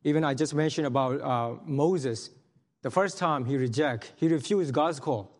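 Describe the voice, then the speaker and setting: Earnest man preaching in a hall. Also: on the high side. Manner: earnest, halting, many restarts and fillers. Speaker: missionary speaker. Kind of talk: sermon testimony. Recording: long hall reverb.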